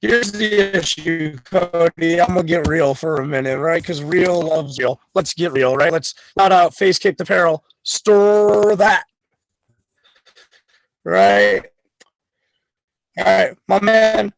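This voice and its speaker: Boastful high-voiced man, slow swagger. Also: lively intonation, gravelly, dry recording, low-quality recording. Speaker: wrestler guest. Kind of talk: podcast chat.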